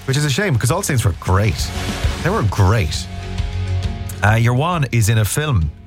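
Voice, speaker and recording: A man's voice: mid-range voice, sing-song, a little slow, over music. A man hosting a radio show, chatting casually and jokily, with a blocked nose from a mild cold. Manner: jokey, rambling, bunged-up from a cold. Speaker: radio host.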